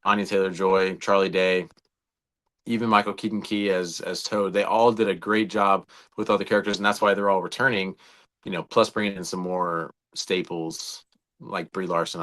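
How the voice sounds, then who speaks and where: This is an American man with a somewhat low-pitched voice. He talks as a co-host in casual discussion, laying out his opinions conversationally and with emphasis. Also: fairly even intonation, a little fast, fairly bright tone. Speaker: show co-host.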